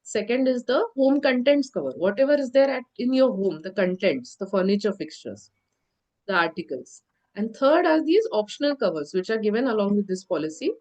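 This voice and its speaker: A woman delivers an online lecture over a call, her voice nasal and stuffy from a cold. She explains step by step at a steady pace, pausing often on little fillers. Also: medium pitch. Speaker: insurance lecturer. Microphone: video call.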